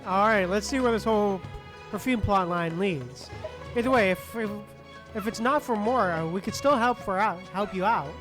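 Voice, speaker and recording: A woman's voice: mid-range voice, normal speed, poor audio. A woman chatting casually while livestreaming a game, laid-back, with murmured asides. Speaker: game streamer. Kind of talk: casual livestream chat over gameplay. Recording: game music behind voice.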